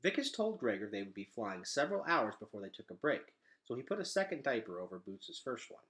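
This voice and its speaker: A man reading a children's novel aloud as an expressive storyteller. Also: medium pitch, a little fast.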